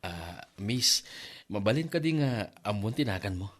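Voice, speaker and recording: A man's voice: fairly low pitch, animated, unhurried, a bit bright. A man with an Ilocano accent playing a smitten, bashfully flirtatious customer in a comedy drama.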